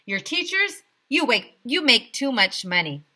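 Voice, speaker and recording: A woman's voice: a little high, very animated, measured pace, sharp tone, pitched above the performer's own voice, exaggerated voice. A woman voicing a bossy, penny-pinching male town mayor in a children's storybook, declaiming emphatically. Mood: gleefully ruthless. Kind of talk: children's storybook read-aloud.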